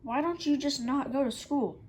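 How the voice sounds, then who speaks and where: Female reaction host, on the high side, blunt, incredulous, casual delivery with slight background noise. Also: moderate pace, a little mellow. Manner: blunt, incredulous, jokey exaggeration.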